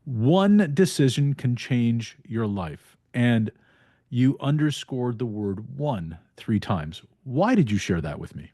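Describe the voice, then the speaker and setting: A man hosts a podcast, introducing his guest with halting, hesitant phrasing. His pitch is average. The recording is dry and close, with no room sound. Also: sing-song, dark tone, dry recording. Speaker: podcast host. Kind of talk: interview questions.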